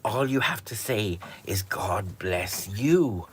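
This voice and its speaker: A man's voice: fairly low pitch, expressive, takes it slowly, husky, heavily acted. A blunt soldier speaks in a plain, matter-of-fact way, as a man voices him within a told folk tale. His lines come out direct and unadorned.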